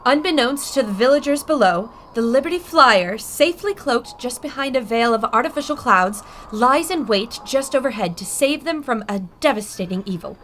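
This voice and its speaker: A woman's voice: on the high side, animated, normal speed, hardly any pauses, fairly bright tone, a little hiss or hum. An actress playing a serious, determined woman reporter, dramatic and earnest, in a retro adventure radio drama.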